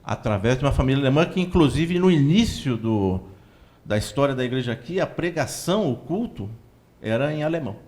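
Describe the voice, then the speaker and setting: A man with a Brazilian accent, a church spokesman giving long, steady explanatory answers in a TV interview. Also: mid-range voice, brisk. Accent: Brazilian Portuguese.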